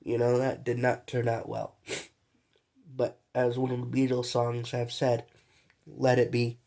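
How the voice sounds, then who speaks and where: A man's voice: medium pitch, completely flat delivery, measured pace, warm tone. A young man who is sick and coughs now and then, talking in a loose, rambling, slangy vlog monologue full of fillers. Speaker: vlogger.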